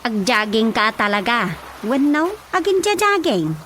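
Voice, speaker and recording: A woman playing a lively, dutiful daughter in an Ilocano radio drama comedy, speaking with an Ilocano accent. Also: a little high, a little slow, a little hiss or hum. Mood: chatty.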